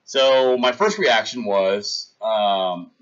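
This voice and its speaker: A man's voice: lowish voice, extremely slow, highs cut off. A young man hosting a livestream, telling a rambling story in casual chat and talking to his viewers. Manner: rambling anecdote, talks to chat, shouts out followers. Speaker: livestream host.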